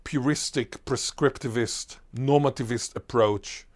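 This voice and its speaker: A man, a Hebrew speaker, answering as a linguist guest in an interview, emphatic and animated, full of lists and rhetorical questions. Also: medium pitch, a little slow. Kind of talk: enthusiastic explanatory interview answer.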